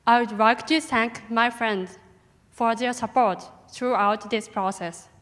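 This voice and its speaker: A teenage girl, a native Japanese speaker, giving a careful, halting self-introduction in English as a student panelist. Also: fairly high pitch, a bit bright. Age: teens. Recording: echoey hall.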